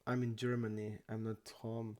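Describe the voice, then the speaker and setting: A young man from Croatia, not an English speaker, chatting casually and haltingly while streaming poker. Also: lowish voice, monotone, mellow. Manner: halting non-native English, many restarts and fillers.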